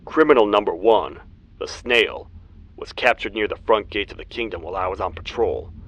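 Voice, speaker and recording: A man voicing a stern, weary cartoon toad sheriff in a video game, with a grave, formal, deliberate delivery. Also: on the low side, animated, a bit bright, dull audio. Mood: solemn, matter-of-fact. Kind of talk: video game character acting, log narration.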